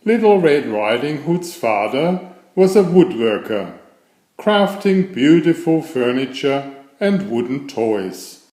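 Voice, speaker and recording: A man narrating a children's fairytale aloud in a deliberate, expressive storybook style. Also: medium pitch, roomy sound.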